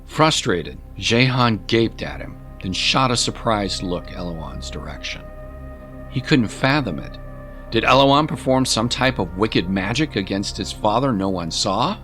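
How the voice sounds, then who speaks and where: A man's voice: on the low side, lively intonation, a little slow, fairly bright tone. A man narrating a scripted story as an audiobook narrator, in a dramatic storytelling style. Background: music.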